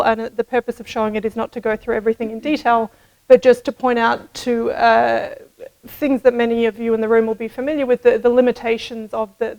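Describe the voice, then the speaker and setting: A middle-aged woman giving a scientific conference talk at a podium microphone, explaining in a measured way with some hedging. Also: on the high side, a little mellow, low-quality recording. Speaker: medical researcher presenting at a conference. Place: indoor conference room, at a lectern.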